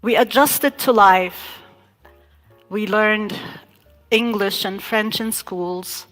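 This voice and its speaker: A woman from Iraq telling her family's story in a talk, measured and reflective, pausing often. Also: mid-range voice, fairly even intonation, fairly bright tone, faint music behind. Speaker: speaker giving a talk. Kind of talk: slow personal storytelling talk.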